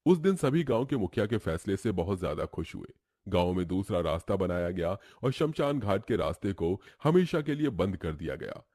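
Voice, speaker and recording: A man's voice: low-pitched, sing-song, moderate pace, a little mellow, no room sound, clean studio sound. A man narrating a scripted horror story in a dramatic storytelling style.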